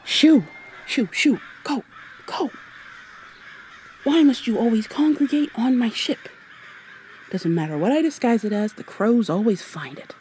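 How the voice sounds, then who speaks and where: A woman playing a brisk, awkward time traveler in an ASMR roleplay, talking to the listener in a rambling, wry way and thinking out loud. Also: fairly high pitch, expressive, slight background noise. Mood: exasperated. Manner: rambling, hesitant, thinking out loud mid-sentence. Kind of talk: ASMR roleplay, acted one-sided dialogue to the listener.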